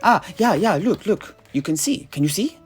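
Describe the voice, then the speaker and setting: A performer acts out a burly, simple-hearted man who helps out in a fantasy tavern. His pitch swings widely as he eagerly repeats himself and stumbles over words. Instrumental music plays behind him in this audio drama. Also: lively intonation, normal speed, natural voice. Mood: excited. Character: big strong tavern helper, male, eager, excitable, simple-hearted.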